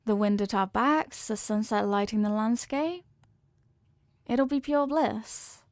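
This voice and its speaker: A woman's voice: on the high side, slow, darkish tone, dry recording. A woman playing a sweet, devoted headless horsewoman girlfriend in an intimate ASMR roleplay, affectionate, playful and gushing. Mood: dreamy, loving.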